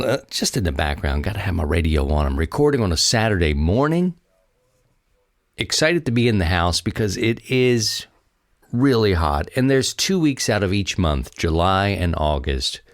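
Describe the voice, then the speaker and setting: A man's voice: on the low side, no room sound, studio quality. A man with an American accent hosting a podcast, chatting casually and rambling in a folksy way to his listeners. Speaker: podcast host. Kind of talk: casual solo chat to listeners.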